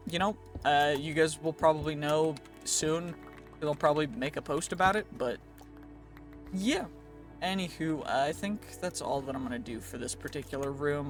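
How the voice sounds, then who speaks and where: A man's voice: fairly high pitch, a bit bright. A man with a sniffly, stuffy nose giving casual, chatty gameplay commentary, often reassuring himself and playfully pleading for loot. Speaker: gaming youtuber. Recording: clear music bed behind. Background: game music.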